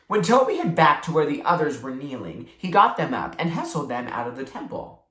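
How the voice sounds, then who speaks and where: Read-aloud narrator for a children's novel, highly varied intonation, roomy acoustic. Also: very animated, fairly quick, pushed and bright. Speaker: book reader. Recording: echoey room.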